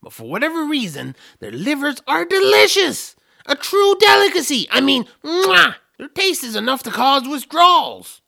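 A man's voice: very high-pitched, sing-song, normal speed, vocal fry, bright, forceful tone, dry recording, studio quality, full character voice. A man voicing a grumpy, reclusive troll with an exasperated, grumbling manner in a family audio drama. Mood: rapturous. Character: grumpy, reclusive male troll, food-obsessed reluctant hero.

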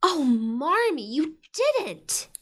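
A woman's voice: high voice, sing-song, very slow, full character voice. A woman playing a blunt, dramatic, protective teenage big sister in an audio drama, with emphatic delivery. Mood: incredulous. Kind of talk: audio drama acting, naturalistic dialogue.

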